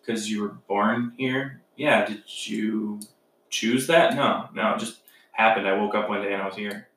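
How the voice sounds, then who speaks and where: A man's voice: fairly low pitch, moderate pace, a bit bright, a little hiss or hum. Young man musing in a roomy, distant sound. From United States. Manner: rambling, musing, lots of "like". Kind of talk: casual chat. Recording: roomy sound, set back from the mic.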